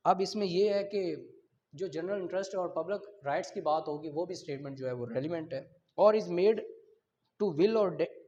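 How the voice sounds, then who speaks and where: Pakistani guy teaching a law class, pretty high voice, repeats terms and checks you get it. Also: moderate pace, mellow, muffled recording, slight background noise. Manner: checks listeners' understanding, repeats key terms. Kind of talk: classroom lecture, reading out and explaining.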